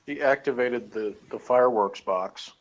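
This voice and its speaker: A man's voice: mid-range voice, normal speed, muffled recording. A man chatting casually and offhandedly as a co-host of a review show, in a dry manner. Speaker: podcast co-host reviewing a TV episode. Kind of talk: casual chat.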